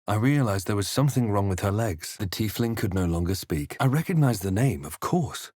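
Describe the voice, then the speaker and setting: A man playing a solemn, thoughtful adventurer hero in a fantasy video game, speaking in measured, dramatic lines. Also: fairly low pitch, expressive, a little mellow, no room sound, studio quality. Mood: solemn narration. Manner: measured, dramatic, literary delivery. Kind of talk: scripted game narration and character lines.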